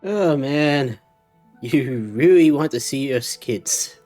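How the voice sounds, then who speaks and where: A woman's voice: rumbling low, expressive, takes it slowly, dark tone, soft background music. A woman giving casual live commentary as she streams a game.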